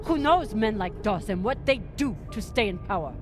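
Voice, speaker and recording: A woman's voice: mid-range voice, animated, normal speed, over music, lightly acted. A woman playing a hardened, bitter space-crew leader in a video game, with a terse, grim delivery. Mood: firm, insistent. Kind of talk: dramatic video game acting.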